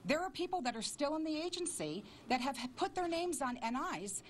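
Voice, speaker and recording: A woman's voice: high voice, lively intonation, fairly quick, slight background noise. A woman speaking in an aggrieved news soundbite. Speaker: fired agency worker.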